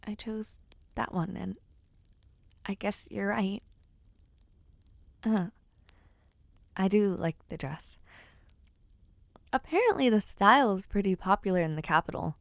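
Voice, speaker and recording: A woman playing a teasing, bashful young noblewoman in an intimate audio roleplay, speaking playfully with hesitant pauses. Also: mid-range voice, creaky, no echo. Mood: bashful, pleased. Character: teasing, bashful young noblewoman before her debut ceremony. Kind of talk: intimate audio roleplay, one-sided conversation.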